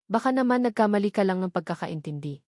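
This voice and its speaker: A woman's voice: medium pitch, expressive, fast, darkish tone, no room sound, clean studio sound, natural voice. Woman reading as an evasive, caring-seeming male friend. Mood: defensive, evasive. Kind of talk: dramatic storybook dialogue.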